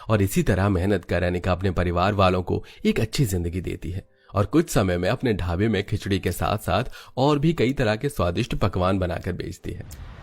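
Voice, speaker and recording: A man reading scripted narration for a cartoon story as its storyteller. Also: low-pitched.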